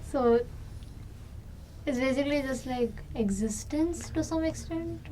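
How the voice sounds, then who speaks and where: A woman's voice: high-pitched, measured pace, mellow. A woman in an unscripted, casual conversation as an experiment participant, explaining things tentatively in simple words. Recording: distant mic, faint background noise.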